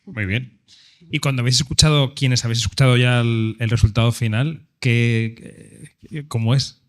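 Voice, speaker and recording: A man's voice: medium pitch, steady tone, moderate pace. Spain Spanish man moderating a panel. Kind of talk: hosting a panel discussion.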